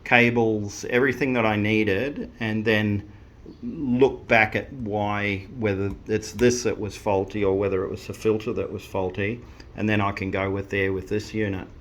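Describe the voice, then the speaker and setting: A man's voice: lowish voice, fairly even intonation, moderate pace. A man talking casually to camera about fitting an intercom into his vehicle, plain-spoken and rambling with frequent restarts. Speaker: vehicle owner showing an intercom install.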